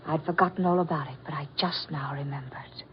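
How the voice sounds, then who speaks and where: An actress in an old-time radio horror play as a highly strung captive soprano, horrified and indignant, with melodramatic delivery. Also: female voice, steady tone, brisk, a little hiss or hum, close to an everyday voice. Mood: dawning horror. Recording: muffled vintage radio drama recording.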